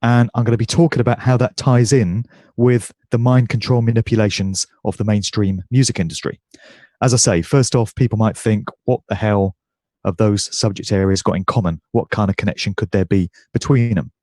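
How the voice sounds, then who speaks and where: Low voiced guy with a stuffy croaky voice, giving a talk, dry close sound. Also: fairly even intonation, dark tone, dry recording. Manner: fluent, measured speaking. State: congested and croaky. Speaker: guest speaker. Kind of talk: presentation. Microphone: video call.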